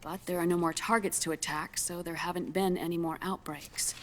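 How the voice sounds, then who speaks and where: A woman playing a grave scientist in a video game cutscene, explaining grim findings in a measured, solemn way. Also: fairly low pitch, fairly even intonation, slight background noise, lightly acted. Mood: clinical, explanatory. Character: grave female scientist delivering a grim diagnosis.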